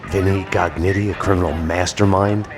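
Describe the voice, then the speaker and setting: An actor playing a haunted, world-weary police detective, narrating a noir game in a brooding deadpan. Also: male voice, deep voice, moderate pace, background noise, natural voice. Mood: dry, wry. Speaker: narrator and lead detective character. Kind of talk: hard-boiled noir scripted narration. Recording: dramatic music under the voice.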